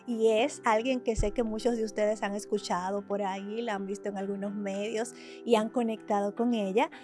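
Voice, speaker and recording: A woman's voice: medium pitch, level tone, moderate pace, a little mellow, faint music behind. A woman hosting a podcast, welcoming her guest with enthusiasm and asking questions. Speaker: podcast host.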